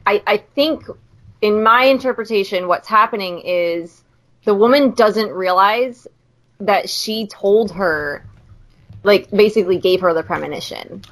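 Female podcast co-host, medium-pitched voice, informal conversational delivery. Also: measured pace, a bit bright. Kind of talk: casual discussion.

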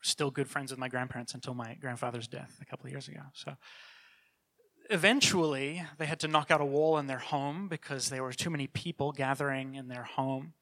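A middle-aged man from New Zealand, his accent softened by years in Canada, giving an earnest, conversational church talk as a children's ministry leader, with frequent restarts. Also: medium pitch, fairly even intonation, a little fast. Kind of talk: church talk with personal testimony.

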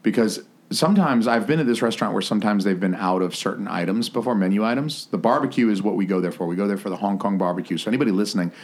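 A man hosting a podcast, telling a story in a loud, profane, animated way. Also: fairly low pitch, very fast, hardly any pauses. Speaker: podcast host. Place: New England.

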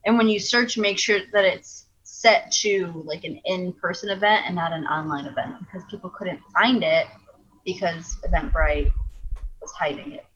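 A woman's voice: on the low side, normal speed, a bit bright, slightly rough, muffled recording. A woman comedian co-hosting a podcast, frank and joking, a little tipsy. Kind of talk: casual podcast chat.

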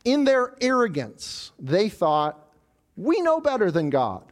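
Male preacher, high voice that swoops all over, working through scripture. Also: slow pace. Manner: animated preaching, voicing the proud tower builders. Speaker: pastor. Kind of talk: sermon.

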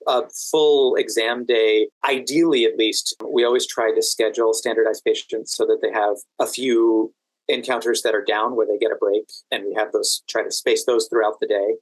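A man's voice: medium pitch, no room sound, rough recording. A man from Washington, D.C., explaining his work as an interview guest in an articulate, methodical, conversational way. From Washington, D.C., United States. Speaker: podcast guest, exam event manager and educator.

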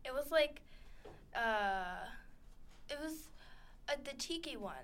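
A woman's voice: high voice, lively intonation, takes it slowly, fairly bright tone. A teenage girl co-hosting a casual radio chat, rambling, giggling and acting out characters as she recaps scenes. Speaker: co-host. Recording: radio studio.

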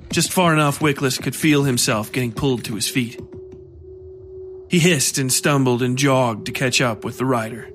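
A man's voice: medium pitch, fairly bright tone. A man reading scripted horror fiction as narrator, with music playing under his voice.

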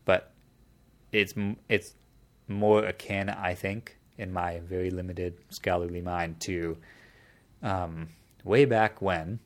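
A man with a very deep, low voice talks through an idea in relaxed conversation. He explains patiently and circles back to restart his sentences as he thinks. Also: takes it slowly. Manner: thoughtful, explanatory, with frequent restarts. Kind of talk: casual conversation.

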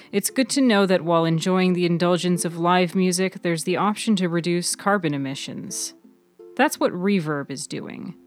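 A woman reading scripted narration as the narrator of a fiction podcast. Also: on the low side.

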